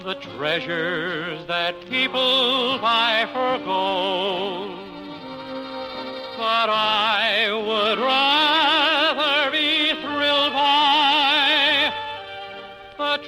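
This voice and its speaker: A man sings a very slow romantic ballad for old-time radio. Music plays clearly behind his voice. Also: very high-pitched, poor audio. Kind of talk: slow sung ballad with orchestra.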